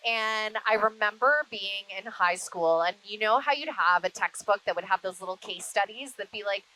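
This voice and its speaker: A woman who works in broadcasting, answering interview questions conversationally in an animated storytelling way. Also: mid-range voice, rough recording. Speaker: interview guest, media broadcaster.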